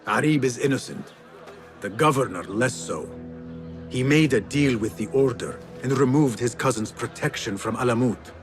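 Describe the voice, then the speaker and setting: An intense young assassin apprentice, played by a male actor in a game drama. His mid-pitched voice is earnest and resolute, with music clearly behind it. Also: moderate pace, lightly acted. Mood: urgent. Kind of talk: dramatic video game acting.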